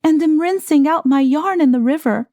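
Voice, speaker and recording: A woman's voice: sits high, normal speed, dark tone, pitched above the performer's own voice. A woman voicing a meek, kind, poor young girl in a storybook, timid and humble.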